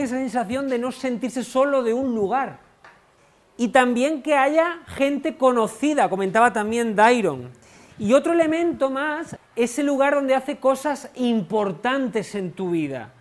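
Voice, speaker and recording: A woman's voice: high-pitched, animated, unhurried. An adult woman teacher leading a classroom discussion with children, asking questions and repeating their answers back to them. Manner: asks questions, repeats pupils' answers back, encouraging "ujú".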